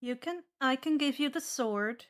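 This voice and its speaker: A woman's voice: sits high, a little slow. A woman narrating and refereeing a tabletop game as game master.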